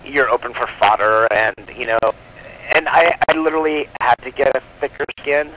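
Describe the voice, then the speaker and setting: A man's voice: mid-range voice, normal speed, noisy background. A man talking at length in a casual radio interview as a guest, in a rambling, chatty way. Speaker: guest, comic book publisher.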